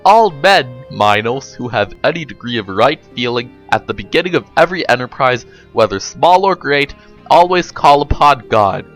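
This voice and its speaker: A man's voice: medium pitch, expressive, normal speed, a bit bright, faint music behind. A man reading the part of a pompous, formal arbiter, with stately rhetorical phrasing in a story reading. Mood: declaiming. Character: pompous, formal male arbiter with philosophical airs.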